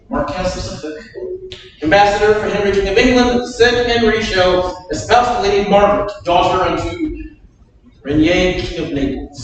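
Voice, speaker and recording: A man's voice: high-pitched, slight background noise, lightly acted. A man playing the Duke of Gloucester, the king's proud and grieved uncle, in a staged Shakespeare reading, declaiming with rising passion. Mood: formal. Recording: echoey room, mic far off.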